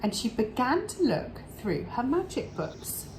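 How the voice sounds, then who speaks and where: A woman reading a children's story aloud expressively, with dramatic pauses and drawn-out words. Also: on the high side, sing-song, darkish tone, a little hiss or hum, low-quality recording. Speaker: storybook reader for an English club.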